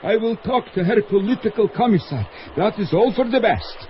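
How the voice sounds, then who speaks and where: A man's voice: high voice, expressive, moderate pace, slight background noise. An actor playing an anxious Soviet skating coach and father in a vintage radio drama, earnest and pleading, on a muffled old broadcast. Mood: urgent, worried. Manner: earnest, increasingly desperate pleading.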